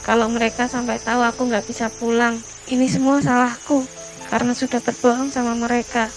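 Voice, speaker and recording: A high-pitched, anxious teenage schoolgirl played by a woman from a horror cartoon. She is lost on a hike and talks plaintively to herself, with music underneath. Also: level tone, a little fast, lightly acted. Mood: anxious, regretful. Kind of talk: animated horror story dubbing.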